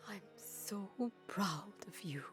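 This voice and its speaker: An actress playing an intense, deliberate mother apparition in a fantasy game cutscene. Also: mid-range voice, fairly bright tone. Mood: tender, coaxing. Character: mother apparition, tender turning coldly menacing. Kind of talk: cinematic fantasy game acting. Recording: music behind.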